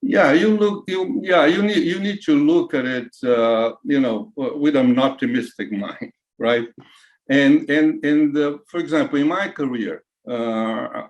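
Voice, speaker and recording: A Brazilian man in his sixties with a Brazilian Portuguese accent, a retired IT executive answering interview questions in a halting way, full of fillers and restarts. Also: fairly high pitch, highs cut off. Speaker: guest, retired CIO.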